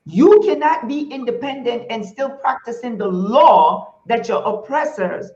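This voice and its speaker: A woman's voice: a little high, lively intonation, a little slow, darkish tone, roomy sound, highs cut off. A woman hosting a panel talk show, speaking emphatically and repeating points for stress.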